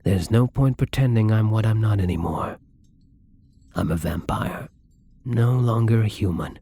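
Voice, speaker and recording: A man playing a lonely, gentle vampire in an intimate ASMR roleplay, speaking softly and haltingly with a wistful tenderness. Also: fairly low pitch, vocal fry, breathy edge, full character voice. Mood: sad, resigned.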